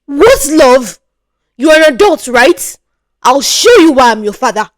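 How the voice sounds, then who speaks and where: A woman's voice: high-pitched, very animated, measured pace, dry recording, pitched above the performer's own voice. Woman acting out a stern, domineering rich father. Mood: livid. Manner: commanding, scolding. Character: stern, domineering wealthy father, older man, quick to rage.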